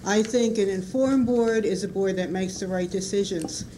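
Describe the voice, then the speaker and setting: Woman with a cold, weak mellow voice. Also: medium pitch, normal speed, poor audio. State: has a bad cold, weak voice. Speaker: public commenter. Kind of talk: public comment at a meeting. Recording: 1990s public-access broadcast with background hiss.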